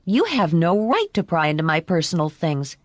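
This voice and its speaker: A woman's voice: fairly low pitch, big pitch swings, normal speed, no echo. A woman narrator voices a teenage boy in an audiobook. He is sly and resentful, with a temper close to the surface. The recording is close and dry. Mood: furious. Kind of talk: audiobook character voice.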